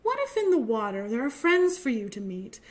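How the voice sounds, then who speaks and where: A woman voicing a gentle, reassuring mother penguin in a storybook, tender and coaxing. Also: on the high side, sing-song, normal speed. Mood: soothing. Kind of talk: children's storybook read aloud.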